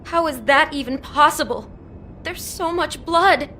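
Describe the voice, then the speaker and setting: An actress playing a shaken, compassionate young woman in a video game, speaking in an anxious, halting, emotional way. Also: sits high, sharp tone, slight background noise. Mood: distraught. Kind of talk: naturalistic video game voice acting.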